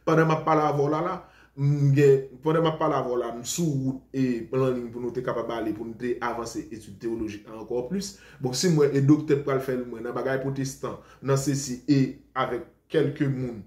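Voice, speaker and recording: A man's voice: mid-range voice, darkish tone. A Haitian man preaching informally to his followers, rambling and defensive, often repeating himself. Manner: rambling, defensive, switches between Creole and French. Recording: roomy echo.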